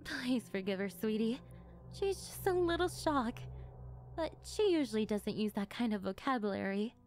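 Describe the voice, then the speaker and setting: A woman playing a sweet, doting middle-aged mother who is a calmly menacing kidnapper, speaking in a sugary, unhurried ASMR roleplay style. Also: fairly high pitch, soft background music, natural voice. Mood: calm, confessional. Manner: sugary, unhurried, calm about disturbing things.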